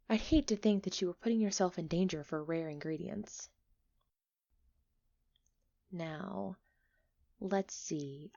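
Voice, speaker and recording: A woman playing a friendly, chatty, excitable village alchemist in an ASMR roleplay, expressive and a little flustered, on a slightly dull recording. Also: fairly low pitch, vocal fry. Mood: concerned, then curious, appraising. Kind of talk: ASMR roleplay acting, one-sided conversation.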